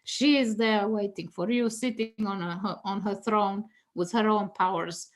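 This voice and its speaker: A woman's voice: medium pitch, fairly even intonation, a little slow. A woman whose first language is Danish, a guest tarot reader talking casually and teaching, laughing often and restarting her phrases with many fillers.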